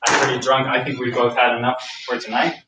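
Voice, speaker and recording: A man's voice: medium pitch, flat delivery, quick pace, close to an everyday voice. A man playing a weary, polite, restrained drinking companion in a staged play reading. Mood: polite, insistent. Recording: very echoey, distant, noisy room.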